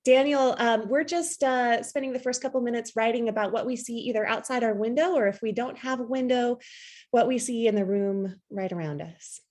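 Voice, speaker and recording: Woman in her 30s running a zoom poetry workshop, soft and encouraging, lots of ums, earbud mic. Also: a little high, fairly quick. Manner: gentle, encouraging, with frequent ums and restarts. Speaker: workshop facilitator. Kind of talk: online workshop teaching. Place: home room with bookshelves. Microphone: earbud headset on a video call.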